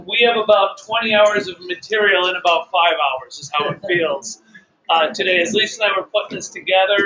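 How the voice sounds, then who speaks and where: A man with a loud voice hosting a business training seminar in a hotel meeting room, running through the day's plan briskly with many fillers. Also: high-pitched. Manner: brisk organizer with filler words. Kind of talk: live seminar talk to a room. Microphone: room recording, mic not working. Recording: dull lo-fi room recording, faint noise.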